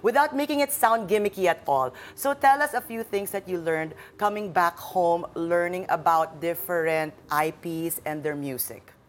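A woman with a lowish voice asks an interview question in a measured, formal way. A faint background noise runs under her voice.

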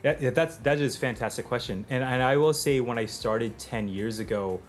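A man's voice: mid-range voice, a little fast, faint music behind. A man from the United States who composes for film, answering interview questions in a thoughtful way with plenty of fillers and restarts.

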